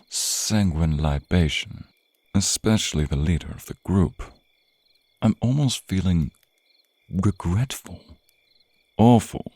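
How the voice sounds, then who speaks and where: A man's voice: low voice, dark tone, breathy edge, no room sound, exaggerated voice. A man voicing a suave, predatory vampire in an intimate ASMR roleplay, with a teasing, possessive, theatrical drawl and murmured asides. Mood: coaxing, sly.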